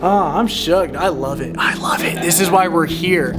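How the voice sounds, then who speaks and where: A young man talking in an excitable, rambling way, with a slightly raised pitch. He swears and repeats himself as he chats. Clear music plays behind his voice. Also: normal speed. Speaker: paranormal channel host. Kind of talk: casual excited chat.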